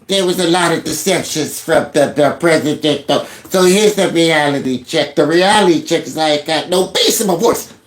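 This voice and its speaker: A man's voice: a little high, moderate pace, hardly any pauses, reverberant. A man doing a comedic, stammering mockery of a newscaster. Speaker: commentator mocking a newscaster.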